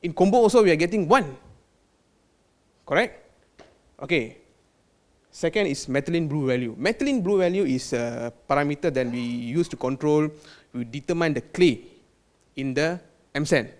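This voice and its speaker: A man with a Malaysian accent speaks in a fairly high voice, presenting technical details at a product launch. He pauses often with fillers and restarts, slipping in the odd Malay word. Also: expressive. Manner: hesitant, many fillers and restarts; mixes in Malay words. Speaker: quality control manager.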